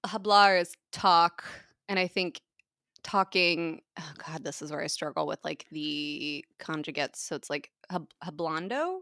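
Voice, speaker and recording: A chatty woman co-hosts a podcast in a relaxed, laughing manner. Her voice sits a little low. The sound is close and dry, with no room around it. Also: measured pace, dry recording. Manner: chatty, laughs often, trails off mid-thought. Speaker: podcast co-host. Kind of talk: casual chat. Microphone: podcast microphone.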